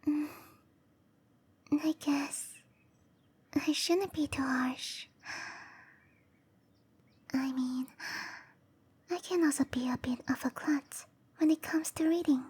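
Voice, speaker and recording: A woman voicing a gentle, caring cherry blossom dryad girl in an ASMR roleplay, musing softly to herself in a breathy, often whispered voice. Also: sits high. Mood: puzzled, musing. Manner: musing to herself, slow and tender.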